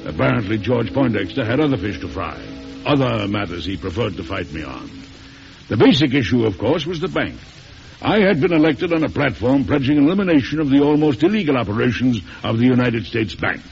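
An actor playing a proud, stubborn older American president in a folksy, emphatic old radio drama style. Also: male voice, mid-range voice, animated, brisk, darkish tone, faint music behind, close to an everyday voice. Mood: reflective. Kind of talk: 1940s radio drama acting, narrating and playing. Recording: hissy, dull old radio recording.

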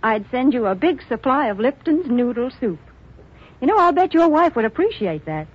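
A woman's voice: sits high, very animated, moderate pace, husky, exaggerated voice. An actress with an American accent playing a chatty, prim, teasing sponsor's spokeswoman in 1940s radio ad banter. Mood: chipper, selling. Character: chipper, scolding sponsor's spokeswoman, prim and homey. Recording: muffled old radio recording.

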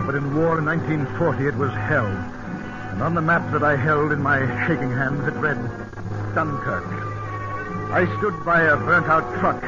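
A man's voice: a little high, close to an everyday voice. A man playing a shaken, terse army major in a 1940s radio drama. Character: war-weary, shaken British army major. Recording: muffled old radio broadcast.

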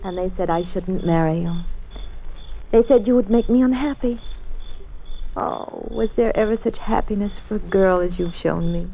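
An actress playing a gentle, devoted, anxious young wife, with earnest, stagey old radio-drama phrasing. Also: female voice, medium pitch, normal speed, slightly rough. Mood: tender. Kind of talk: 1940s-50s radio drama acting. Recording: muffled, hissy vintage radio recording.

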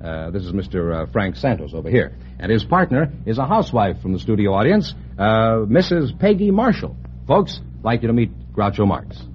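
An American man announces and introduces guests on a vintage radio show. His delivery is animated in pitch. The recording is dull, with faint noise. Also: a little fast.

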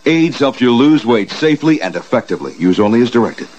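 A man's voice: mid-range voice, expressive, fast, darkish tone, lo-fi sound. A man announcing a 1980s commercial, heard on an old recording.

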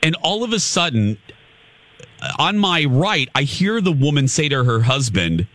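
Animated man telling a story on morning radio. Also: on the high side, measured pace, no room sound. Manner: animated, laughing, chatty storyteller. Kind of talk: storytelling banter on a morning show. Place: radio studio. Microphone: studio microphone.

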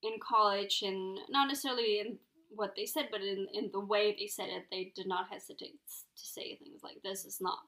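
A woman's voice: medium pitch, steady tone, normal speed, few pauses, bright, forceful tone, poor audio. A young woman from Ecuador, a guest in a casual interview, talking thoughtfully with lots of ums and likes. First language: Spanish. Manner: thoughtful, many fillers like "um" and "like".

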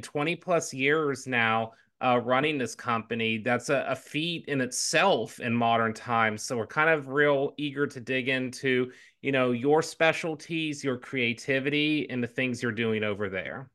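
A man's voice: on the high side, a bit bright. A man co-hosting a podcast in casual chat, upbeat and chatty, a little nasal from allergies. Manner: upbeat, chatty, lots of fillers. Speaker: podcast co-host.

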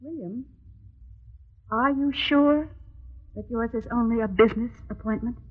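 Tinny old-radio anxious, wronged wife. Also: female voice, fairly high pitch, unhurried. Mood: hurt, pleading. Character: anxious, wronged wife suspecting her husband of cheating. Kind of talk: old-time radio drama acting. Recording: tinny, band-limited old radio recording.

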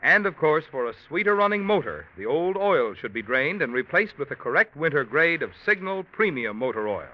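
A man's voice: a little high, very animated, a little fast, few pauses. A man announcing a sponsor message in a polished, persuasive 1940s radio commercial style. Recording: dull vintage radio recording.

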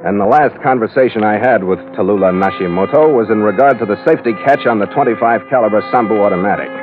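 A man's voice: fairly low pitch, fast talker, music in the background, lightly acted. An American man playing a tough, wry sea captain who narrates his own adventure in a clipped, world-weary old radio drama style. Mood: hard-boiled narrating. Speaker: lead actor and first-person narrator. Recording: muffled vintage radio recording, musical underscore.

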